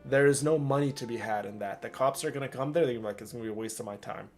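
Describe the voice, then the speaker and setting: A man's voice: medium pitch, racing, soft background music. A man with a Canadian accent, a live streamer chatting casually and emphatically, repeating himself for stress. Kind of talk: casual livestream chat.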